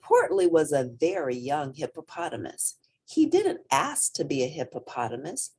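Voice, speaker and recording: A woman reading a children's story aloud in an expressive, dramatic, emphatic way. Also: low voice, normal speed, slightly rough. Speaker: storybook reader.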